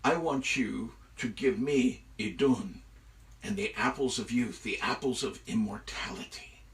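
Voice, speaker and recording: Man voicing a slow, commanding mythic giant, far-off mic. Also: mid-range voice, close to an everyday voice. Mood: demanding. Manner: slow, deliberate, demanding. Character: huge menacing shapeshifting giant in eagle form, commanding. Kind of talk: live oral myth storytelling.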